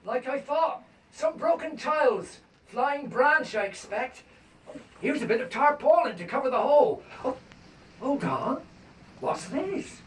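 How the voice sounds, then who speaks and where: A man's voice: very high voice, big pitch swings, measured pace, husky, recorded from a distance, heavily acted. A man acting in a radio play as a friendly working man at a school and as a wartime headmaster. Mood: chatty, folksy.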